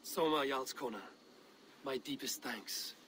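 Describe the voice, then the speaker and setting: An actor playing a stoic, grave Viking warrior in a video game, speaking in a measured and solemn way. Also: male voice, mid-range voice, sharp tone, slight background noise. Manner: measured, solemn, plain-spoken. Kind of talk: dramatic video game cutscene acting.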